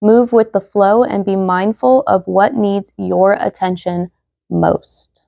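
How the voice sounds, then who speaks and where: Casual tarot reader, young woman, mellow slightly hoarse voice, dry close sound. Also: medium pitch, steady tone, slow pace, no echo. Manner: chatty, many fillers, reads card messages aloud. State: losing her voice, slightly hoarse. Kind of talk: casual tarot reading to viewers.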